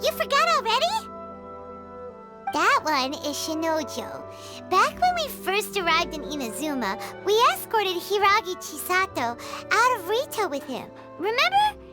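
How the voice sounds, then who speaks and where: Casting: tiny excitable fairy sidekick for a game, very high squeaky child voice, swooping intonation. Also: female voice, measured pace, heavily acted. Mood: eager, chirpy. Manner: bubbly, exclaiming, speaks of herself in third person. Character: small chirpy childlike female fairy guide companion. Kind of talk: English video game dub. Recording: music behind the voice.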